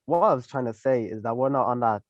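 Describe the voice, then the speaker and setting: Calm young man, slightly muffled. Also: mid-range voice. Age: 20s. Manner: mediating, drawn-out explanations. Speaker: podcast co-host. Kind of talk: casual group chat.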